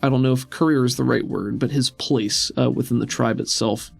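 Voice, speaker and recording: A man's voice: mid-range voice, steady tone, a little fast, gravelly, breathy edge, no room sound. A man working as game master, narrating a tabletop roleplaying scene in a conversational, descriptive way. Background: music.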